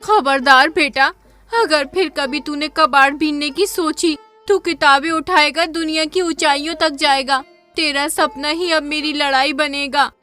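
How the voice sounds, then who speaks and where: A tired, loving village mother in a cartoon storybook, voiced by a man. Her voice is pitched high and bright, and she sounds resigned to hardship yet fiercely protective of her children. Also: fairly even intonation, a little slow, soft background music, heavily acted. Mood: determined.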